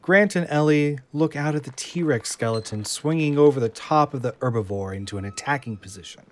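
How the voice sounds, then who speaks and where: A man's voice: on the high side, fairly quick, slight background noise. A man reading scripted narration as the narrator of an audio drama.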